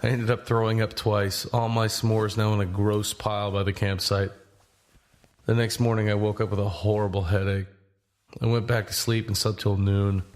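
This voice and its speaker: A man's voice: on the low side, little pitch movement, moderate pace, close to an everyday voice. An actor playing an easygoing, weary cross-country walker, narrating his journey in a first-person audio drama. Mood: reflective, matter-of-fact. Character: easygoing weary man walking across the country. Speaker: narrator and lead character.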